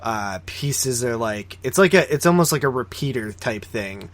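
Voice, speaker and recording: Male podcast co-host, medium pitch, informal and conversational film-review chat. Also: animated, moderate pace, dry recording.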